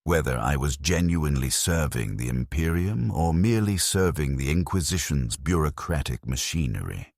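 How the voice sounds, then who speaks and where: A man narrating a first-person story in a grave, measured, scripted delivery. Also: a bass voice, animated, creaky, dry recording, studio quality.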